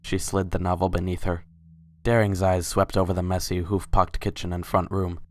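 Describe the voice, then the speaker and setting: A woman's voice: a bass voice, little pitch movement, normal speed, a little mellow. A woman reading scripted story narration as the narrator of a dramatic reading.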